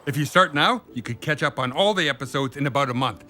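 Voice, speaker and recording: A voice actor playing an excitable grown man who gushes about a fantasy show. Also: mid-range voice. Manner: eager and gushing, rushing his words. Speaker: voice actor at a table read.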